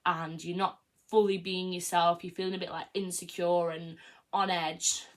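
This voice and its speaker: A woman's voice: on the low side, level tone, moderate pace. Chatty young woman podcasting. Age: early 20s. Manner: chatty, frank and self-affirming, full of "like" and "you know what I mean". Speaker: podcast host. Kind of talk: casual solo podcast monologue.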